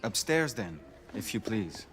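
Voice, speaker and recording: A man's voice: lowish voice, very animated, a little slow, over music. A man playing a suave, playful French aristocrat with a French accent and a courtly, wry manner.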